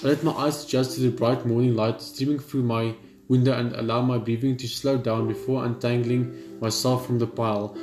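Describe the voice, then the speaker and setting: A man gaming, reading a horror visual novel's narration aloud in a steady read, dropping blunt side remarks. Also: medium pitch, echoey room. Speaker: gamer.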